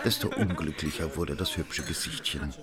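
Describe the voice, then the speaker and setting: An actor playing a worldly, cynical gentleman seducer who narrates his own affair in a radio drama, moving theatrically between dry telling and urgent speech. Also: a bass voice, moderate pace, a loud crowd. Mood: wry, detached. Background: music.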